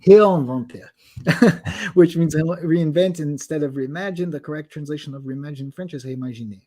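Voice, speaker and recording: Chatty man demoing software. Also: on the high side, moderate pace. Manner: chatty, self-deprecating, many restarts. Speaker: host demoing translation software. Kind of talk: casual live demo talk.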